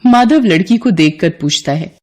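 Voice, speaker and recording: A woman's voice: lowish voice, animated, moderate pace, dark tone, slight background noise. A woman narrator telling a scripted devotional folk tale in a storytelling style.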